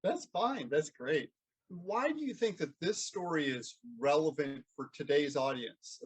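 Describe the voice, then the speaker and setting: A man with a somewhat high, husky voice hosts an interview. He puts his questions conversationally and is encouraging toward his guest. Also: expressive, measured pace. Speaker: interviewer, host.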